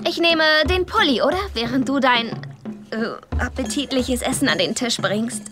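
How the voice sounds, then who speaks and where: High-voiced, skeptical young surfer. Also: female voice, expressive, moderate pace. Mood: feigned friendliness. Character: competitive young female surfer, skeptical and hesitant. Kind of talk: animated film dialogue in an audio play.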